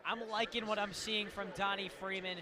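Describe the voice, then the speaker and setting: A man's voice: high voice, little pitch movement, normal speed, a crowd in the background. An American man giving conversational, explanatory color commentary on a live basketball broadcast. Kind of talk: live sports analysis. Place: indoor basketball arena. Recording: clear arena noise, bouncing basketballs. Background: game noise and basketball bounces.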